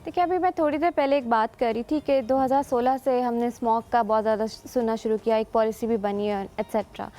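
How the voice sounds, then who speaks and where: High-voiced woman explaining as studio guest. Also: fairly even intonation, warm tone. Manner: measured, explanatory, lecturing. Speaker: environmental scientist guest. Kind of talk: explaining on a talk show.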